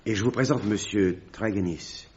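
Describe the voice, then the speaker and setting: A man playing a distressed, apologetic village priest with a halting, formal manner in an old radio drama, on a dull, noisy recording. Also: lowish voice, a little mellow, lightly acted. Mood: grave, apologetic. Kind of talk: 1950s French radio drama acting.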